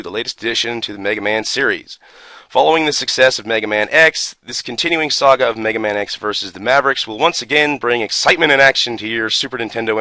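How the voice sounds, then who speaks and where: A man's voice: mid-range voice, bright, forceful tone, dry recording. A man speaking as a gaming YouTuber in an opinionated, sarcastic and exasperated manner with strong emphasis. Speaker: video game YouTuber. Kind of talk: opinionated rant and booklet reading.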